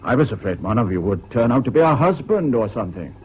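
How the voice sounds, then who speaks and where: Sombre official man acting, courteous and regretful, very mobile pitch, muffled vintage radio play. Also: big pitch swings, fast talker, natural voice. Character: discreet, sombre English official bearing bad news. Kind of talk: 1970s British radio drama acting. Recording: dull, noisy old radio recording.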